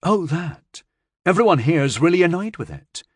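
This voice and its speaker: An excitable young girl with a raised, bright-sounding voice and a lively, bouncing pitch, as a man puts her on. She talks eagerly and quickly, recorded dry and close. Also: slightly rough, no echo.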